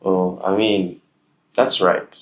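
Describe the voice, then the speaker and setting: Young guy with a really low voice, hosting a chat, lots of ums and uhs. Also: little pitch movement, slow. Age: young adult. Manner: hesitant, with many fillers. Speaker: host. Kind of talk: casual interview.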